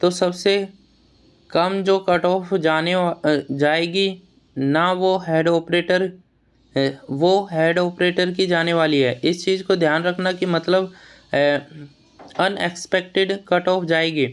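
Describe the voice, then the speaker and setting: A man's voice: sits high, steady tone, slow pace, clean tone. A man giving an informal, explanatory update to students in a plain-spoken, admonishing way, often repeating words and phrases. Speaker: exam news vlogger advising job aspirants.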